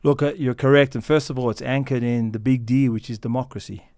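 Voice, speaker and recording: An American man answering interview questions as a company founder, earnest and measured, often pausing with fillers and restarting. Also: mid-range voice, steady tone, darkish tone, no echo, studio quality. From United States.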